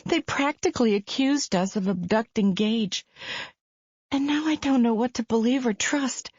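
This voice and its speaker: A woman's voice: a little high, normal speed, a little mellow, husky, dry recording, muffled recording, pitched above the performer's own voice. A woman reading a distraught young mother who blames herself, her voice pleading and near breaking. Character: distraught young mother, tearful and self-blaming.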